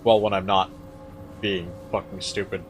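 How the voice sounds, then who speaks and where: A man casually commenting while playing a game with a friend, with a calm, lecture-like voice and offhand swearing. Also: deep voice, normal speed, a little hiss or hum. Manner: laid-back, musing, swears casually. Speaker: gaming channel host.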